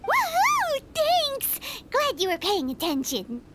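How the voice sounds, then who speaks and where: A woman voicing a tiny, cheeky, childlike fairy companion in a video game, with a squeaky, exclamatory delivery. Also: huge pitch swings, takes it slowly, very noisy, heavily acted. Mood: delighted, cheeky. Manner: speaks of herself in third person, exclamatory. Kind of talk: cartoonish video game voice acting.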